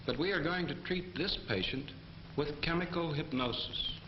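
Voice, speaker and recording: An actor playing a composed, authoritative army doctor, lecturing in a measured, formal 1940s training film manner. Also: male voice, on the high side, natural voice. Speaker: military medical instructor. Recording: muffled, echoey, noisy 1940s film soundtrack.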